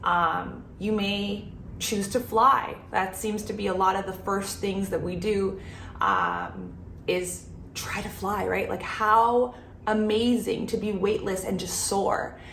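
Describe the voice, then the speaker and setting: Earnest woman with a gravelly voice. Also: mid-range voice, lively intonation, slow, a bit bright, reverberant, a little hiss or hum. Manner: reflective and earnest, with fillers and restarts. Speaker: spiritual channel host. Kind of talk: personal talk to camera.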